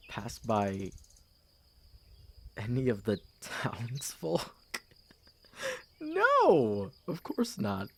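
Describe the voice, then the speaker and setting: Smug young royal suitor voiced by a man, fantasy ASMR drama, patronizing and theatrical, very animated intonation. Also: mid-range voice, slight background noise. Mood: flattering, smug. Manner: smooth, pompous, theatrically expressive. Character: smug, condescending young prince courting a princess. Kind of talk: ASMR fantasy audio roleplay.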